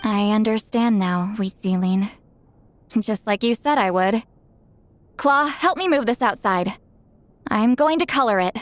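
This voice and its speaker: A woman voicing a reserved, quietly serious young woman who speaks in a measured, earnest way in a game cutscene. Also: medium pitch, a little hiss or hum, natural voice.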